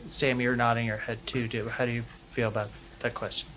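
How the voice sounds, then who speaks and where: Interviewer, conversational, rambling questions, mid pitch, faint noise. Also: little pitch movement, brisk, fairly bright tone. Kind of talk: casual interview.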